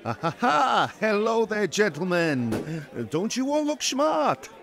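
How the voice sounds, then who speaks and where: A courteous photographer, slow and lilting, lots of ums. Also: male voice, high-pitched, sing-song, crowd noise, exaggerated voice. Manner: courteous, hesitant with fillers. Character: polite, fussy male wedding photographer. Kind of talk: audio drama acting.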